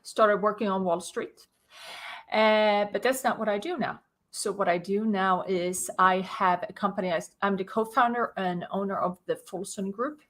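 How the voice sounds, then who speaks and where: A woman from Sweden, a guest on a video-call podcast, explaining her business story in a clear, methodical way with light laughs. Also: mid-range voice, steady tone, normal speed, fairly bright tone. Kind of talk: interview storytelling.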